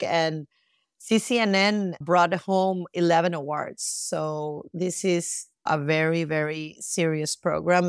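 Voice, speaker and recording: A woman's voice: deep voice, no echo, clean studio sound. A middle-aged woman from Costa Rica answering as a guest in a conversational interview.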